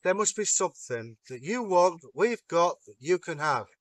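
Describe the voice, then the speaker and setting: A man voicing a sly male trickster god, chummy and smooth-talking. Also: high voice, big pitch swings, moderate pace, fairly bright tone, no room sound, pitched above the performer's own voice. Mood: persuasive.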